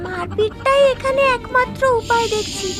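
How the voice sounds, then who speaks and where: A performer voicing a tiny, plucky, excitable childlike magical creature in a children's cartoon, squeaky and dramatic. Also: moderate pace. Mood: determined. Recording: music playing behind the voice. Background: loud playful cartoon music.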